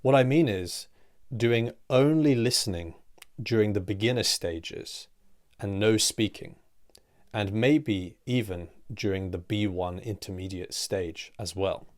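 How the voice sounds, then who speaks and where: A man teaching English in a clear, deliberate scripted lesson, asking rhetorical questions and trailing softer at the ends of phrases. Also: lowish voice.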